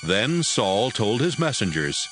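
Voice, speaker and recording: A man's voice: on the low side, sing-song, slow. A man narrating scripture from a script, as the narrator of an audio drama. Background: music.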